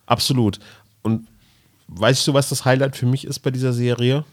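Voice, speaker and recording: Guy chatting casually on a podcast, kinda halting, repeats himself, very dry recording. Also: medium pitch, steady tone, no room sound. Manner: hesitant, searching for words, repeats himself. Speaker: podcast co-host. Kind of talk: casual chat about nostalgic audio dramas.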